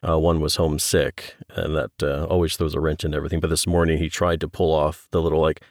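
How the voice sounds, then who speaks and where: Male podcast host, middle-aged. Low-pitched, dry close-mic sound, conversational interviewer. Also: flat delivery, quick pace, no room sound. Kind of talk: casual interview chat.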